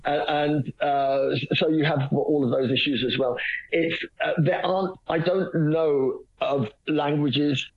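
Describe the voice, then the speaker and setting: A man's voice: fairly high pitch, fairly even intonation, unhurried, husky. A man being interviewed, rambling and hesitant, with many restarts and a dry self-mocking humor. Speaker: guest. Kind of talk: phone-in radio interview. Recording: muffled lo-fi line.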